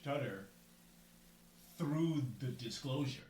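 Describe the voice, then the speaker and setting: A man who stutters, talking slowly and earnestly in a casual podcast conversation. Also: mid-range voice, animated, a little mellow, far from the mic. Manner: slow, earnest, stutters through blocks. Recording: roomy sound, away from mic.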